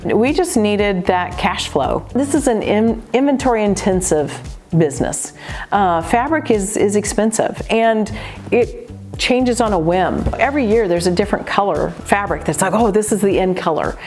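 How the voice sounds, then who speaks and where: A woman's voice: mid-range voice, animated, moderate pace, a little mellow. Earnest quilt shop owner woman. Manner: earnest, emphatic, restarts when moved. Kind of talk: customer testimonial. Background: faint background music.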